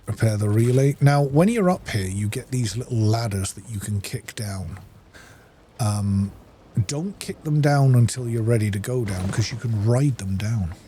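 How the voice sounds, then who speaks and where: Relaxed guy doing a chatty game walkthrough, explains as he goes, bit of noise behind. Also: on the low side, moderate pace. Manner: informal, instructional, talking viewers through each step. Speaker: game streamer and playthrough host. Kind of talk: casual let's-play commentary.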